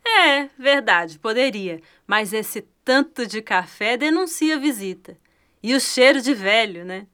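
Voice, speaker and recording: A woman's voice: a little high, expressive, measured pace, bright, forceful tone, clear, resonant tone. A woman acting in a Brazilian radio drama as a bitter, longing fifty-one-year-old retired detective, with a Brazilian accent. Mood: grumbling.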